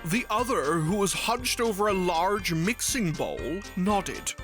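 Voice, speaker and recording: A woman's voice: medium pitch, expressive, takes it slowly, music in the background. A woman reading scripted storybook narration as the narrator.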